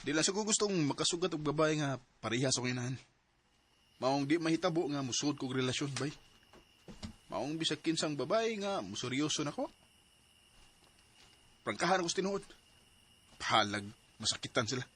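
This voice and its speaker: Guy playing a young son in a radio drama, high bright voice, swings up and down, hot-tempered. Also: lively intonation, moderate pace, pauses often, a little hiss or hum. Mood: cool. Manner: heated, accusing outbursts. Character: young adult son, resentful then remorseful. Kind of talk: audio drama acting.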